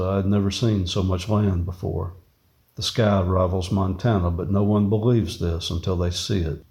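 Low guy reading a lyrical story, very dry sound, no room. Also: dark tone, slightly rough, no room sound. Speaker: reader, a farmer. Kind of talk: scripted reading of lyrical personal prose.

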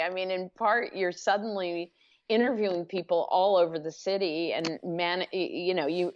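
A middle-aged woman speaking as an author in a podcast interview, thoughtful and measured, often restarting her sentences. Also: lowish voice. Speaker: author and guest. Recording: dull lo-fi podcast audio.

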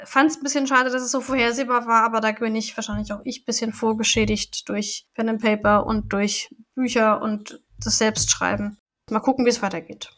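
A woman's voice: on the high side, flat delivery, fairly quick, fairly bright tone. A woman co-hosting a podcast, chatting casually as she reviews an audio drama and thinks aloud. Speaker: podcast co-host.

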